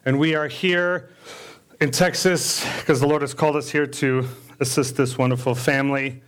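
A man preaching from a church stage, born in South Africa and raised in the American Midwest. He talks loosely and personally, with plenty of uh and um, and jokes about himself as he tells his story. His voice sits at a middle pitch. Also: fairly even intonation, moderate pace, fairly bright tone, clean tone. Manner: conversational, with frequent fillers and self-deprecating asides. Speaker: deacon preaching. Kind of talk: sermon with personal testimony.

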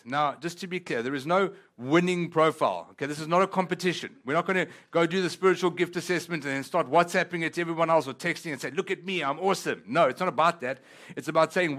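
Lively South African-born pastor preaching. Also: male voice, fairly high pitch. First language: Italian. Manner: lively and humorous, slows down for scripture. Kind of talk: sermon with prayer and scripture reading. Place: church auditorium with congregation.

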